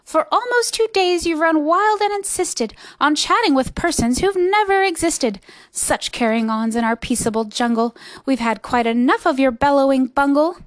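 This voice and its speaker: A woman's voice: high-pitched, expressive, unhurried, dry recording, voice pushed up. A woman voicing a big, bossy, scornful kangaroo from a storybook, snapping and scolding haughtily. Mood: scornful, snappish. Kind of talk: storybook character voice.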